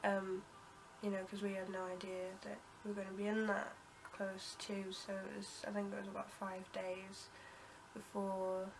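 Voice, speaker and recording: A young woman with a cold talks to camera, her voice bunged up and her delivery wandering through ums and half-finished sentences. She keeps her pitch level and flat. A steady hiss of noise sits under the recording. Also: normal speed. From United Kingdom. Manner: rambling, many ums and restarts, coughs and clears throat. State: congested with a cold. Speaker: vlogger. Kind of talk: casual storytelling to camera.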